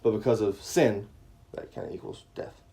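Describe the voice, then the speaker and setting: Mellow-voiced man reading a bedtime story. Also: lowish voice, normal speed, a little hiss or hum. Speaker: dad hosting a family livestream. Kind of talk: casual live chat and bedtime story reading.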